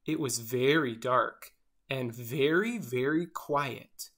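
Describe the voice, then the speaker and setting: A storyteller reading a children's picture book aloud in an expressive style. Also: medium pitch, slow.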